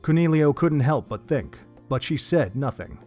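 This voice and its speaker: A man narrating an audio drama in a steady, descriptive storytelling manner. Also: mid-range voice, moderate pace, soft background music.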